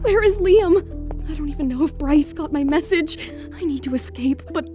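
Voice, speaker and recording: A woman's voice: sits high, expressive, moderate pace, over music. A woman playing an anxious, devoted young mother in an audio drama, emotional and breathless. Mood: desperate.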